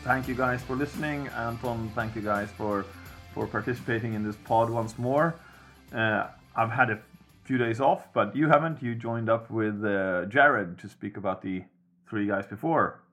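A man hosting a casual podcast chat about hockey, talking things through at an even, measured pace. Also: medium pitch, faint music behind. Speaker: podcast host.

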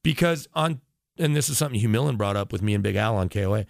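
Male sports radio guest. Lowish voice, dry sound, emphatic conversational analysis with plenty of digressions. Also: lively intonation, quick pace, gravelly, no room sound.

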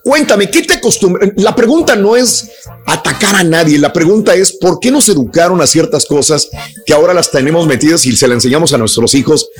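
Guy hosting talk radio, lively swings in pitch, talks right to listeners, faint music. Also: a little fast. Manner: repeats phrases, addresses listeners directly. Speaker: radio show host. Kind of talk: conversational live radio talk. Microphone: close studio microphone.

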